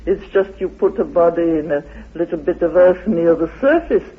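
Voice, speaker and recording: A man speaking as an interviewed guest, explaining emphatically and haltingly, repeating phrases for weight. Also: a little high, slightly rough. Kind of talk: impassioned explanatory discussion. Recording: narrow, tinny old radio recording.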